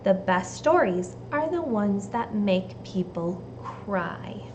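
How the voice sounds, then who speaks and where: A woman's voice: medium pitch, animated, takes it slowly, lo-fi sound, background noise. Storybook aunt, played by a female reader: opinionated, emphatic, knowing, taking her time. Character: opinionated aunt, confidently handing out story advice.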